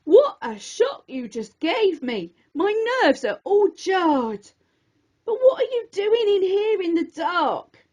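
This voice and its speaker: A woman voicing a nervous, excitable young male student in a rhyming storybook, jumpy and breathless, slightly dull recording. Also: extremely high pitch, takes it slowly, pitched above the performer's own voice, exaggerated voice. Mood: startled, breathless.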